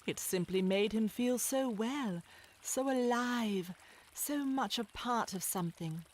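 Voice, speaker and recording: A woman's voice: on the high side, slow pace, a little hiss or hum. A woman narrator reading a scripted animal story in storybook style.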